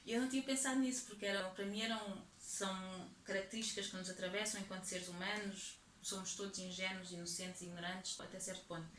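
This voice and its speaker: Thoughtful Portuguese-accented woman, even tone. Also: mid-range voice, quick pace, hardly any pauses. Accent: European Portuguese. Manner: thoughtful, steady, with frequent tag questions. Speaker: poet and activist interviewee. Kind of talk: reflective interview answers. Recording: echoey room with faint ambient noise.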